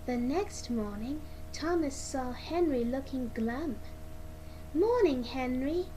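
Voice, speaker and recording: Female read-along narrator: high voice, slow halting delivery of a children's story, clearly noisy amateur recording.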